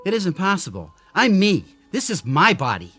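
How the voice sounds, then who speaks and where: A man's voice: sits high, wildly swooping pitch, normal speed, sharp tone, faint music behind, exaggerated voice. A man in a radio drama playing a troubled android who believed he was human, speaking in urgent, challenging, terse phrases. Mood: disbelieving.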